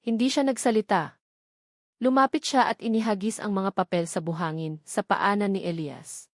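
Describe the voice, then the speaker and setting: A woman with a Filipino accent narrating a scripted story in a dramatic, deliberate way. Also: medium pitch, steady tone, no echo, clean studio sound. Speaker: audiobook narrator.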